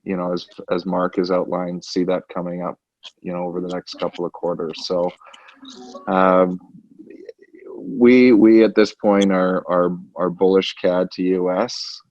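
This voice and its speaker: A man giving unscripted market commentary as a portfolio manager, haltingly, repeating words as he thinks aloud on a conference call. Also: sits low, little pitch movement, darkish tone. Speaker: portfolio manager, currency specialist. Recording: dull, roomy conference call line.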